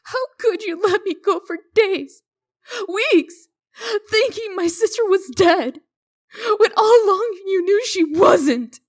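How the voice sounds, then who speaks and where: A woman playing a stern, indignant sister with a sharp, confrontational tongue in a comic dub. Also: very high-pitched, expressive, slow, exaggerated voice. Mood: hurt, angry. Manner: sarcastic, confrontational.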